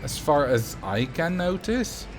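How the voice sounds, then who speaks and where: A man's voice: a little high, very animated, slow pace, background noise. A man from the Netherlands with a Dutch accent, casually chatting with viewers and thinking aloud while he plays a building game on a livestream. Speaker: streamer.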